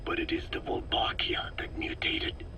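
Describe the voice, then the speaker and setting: An actor playing a grave, learned old scientist in a game, explaining deliberately in a rasping, breathy half-whisper. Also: male voice, pushed and bright, natural voice. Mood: grave, explanatory. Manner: didactic, deliberate. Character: elderly male parasite scientist, learned, measured, grave. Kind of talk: expository dialogue in dramatic game voice acting.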